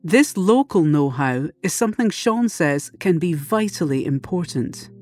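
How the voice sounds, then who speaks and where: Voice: mid-range voice, animated, measured pace, darkish tone, soft background music. A host narrating a scripted report and putting questions to a guest.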